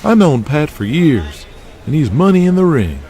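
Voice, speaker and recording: Guy doing a casual wrestling biz veteran, voice pushed higher, shrugs things off, music under. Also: very animated, normal speed, mellow. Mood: matter-of-fact. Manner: matter-of-fact, casual. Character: easygoing smoking male wrestling-business old hand. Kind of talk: audio drama dialogue.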